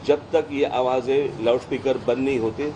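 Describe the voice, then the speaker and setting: A man's voice: mid-range voice, steady tone, moderate pace, noisy background. A man giving firm, insistent political remarks to the press.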